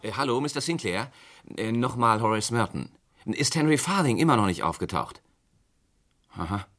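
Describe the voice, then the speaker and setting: A man's voice: medium pitch, animated, moderate pace, fairly bright tone, close to an everyday voice. An actor playing a polite, hesitant businessman who owns a store, speaking courteously with many hums in a 1970s radio crime drama. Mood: concerned, hesitant.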